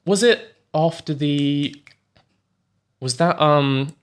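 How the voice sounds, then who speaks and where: A man's voice: fairly high pitch, drawn-out words, clean tone. A man bantering casually and teasingly as he makes guesses in a quiz. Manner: jokey, teasing. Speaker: quiz contestant.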